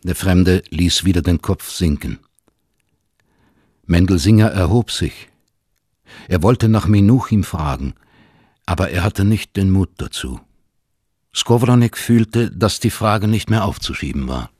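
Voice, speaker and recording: A man narrating a literary radio play with expressive, deliberate phrasing and a husky edge. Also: deep voice, no echo, clean studio sound.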